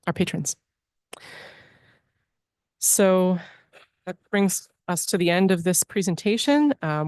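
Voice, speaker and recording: A woman speaks in a lowish voice at a board meeting, delivering her director's report. She is earnest and keeps pausing on "um" and restarting phrases. The sound is dry and close, with no room echo. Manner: frequent ums and restarts, earnest. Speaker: library director.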